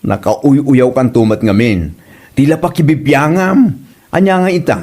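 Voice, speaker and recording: A man's voice: mid-range voice, unhurried, a little mellow, close to an everyday voice. A man playing a blunt, teasing friend who mocks and scolds in a comedy audio drama, with an Ilocano accent. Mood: scolding, egging on. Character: teasing, blunt male friend egging others on.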